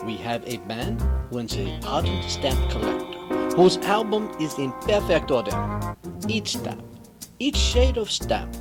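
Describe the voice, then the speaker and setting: An actor playing a courteous, shrewd, self-assured detective with a French accent, speaking precisely and formally in old-time radio mystery style. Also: male voice, on the high side. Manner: precise, formal, fond of rhetorical questions.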